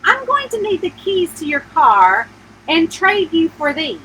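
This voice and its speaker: A matter-of-fact male lawyer from a storybook , voiced by a woman delivers his words deliberately and without much sympathy. The voice is high and bright for the role, with faint background noise. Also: sing-song, full character voice, rough recording. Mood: curt.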